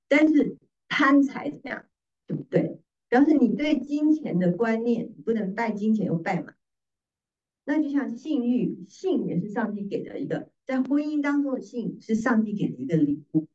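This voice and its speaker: Middle-aged woman, sermon teaching, soft-edged mellow tone, didactic, rhetorical questions, recorded from a distance. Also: fairly high pitch. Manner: didactic, repeats phrases and asks rhetorical questions. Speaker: preacher, pastor's wife. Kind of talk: sermon teaching in Mandarin with slides. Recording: distant mic, slightly muffled sound.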